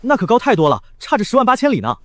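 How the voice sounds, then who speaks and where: A man voicing an excitable, chatty young sidekick in an audio drama. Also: extremely high pitch, rapid-fire, exaggerated voice. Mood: chatty, amused. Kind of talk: dubbed audio drama dialogue.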